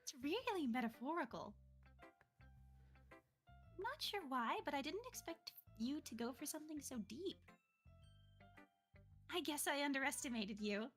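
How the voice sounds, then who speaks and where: A woman's voice: sits high, expressive, a little slow, long pauses, fairly bright tone. A woman voicing a confident, encouraging schoolgirl literature club president in visual novel dialogue, reassuring and chirpy. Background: game music.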